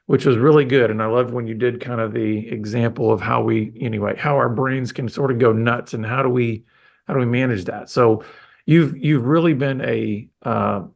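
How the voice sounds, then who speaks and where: Gravelly-voiced male podcast host. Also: medium pitch, fairly even intonation, normal speed. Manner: rambling, enthusiastic, many restarts. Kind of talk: casual interview chat.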